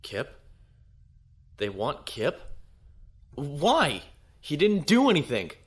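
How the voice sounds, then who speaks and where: A man's voice: a little high, huge pitch swings, slow, fairly bright tone, full character voice. A man playing a principled young noble naval lieutenant in an audio drama, earnest, formal and resolute. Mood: indignant, resolute.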